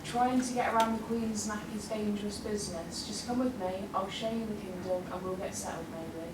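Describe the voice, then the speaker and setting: A woman's voice: mid-range voice, fairly even intonation, a little fast, reverberant, recorded from a distance, heavy background noise, natural voice. A woman voicing a friendly, easily flustered young maiden pony in a live radio play reading. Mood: welcoming. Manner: gushing, easily flustered, protective.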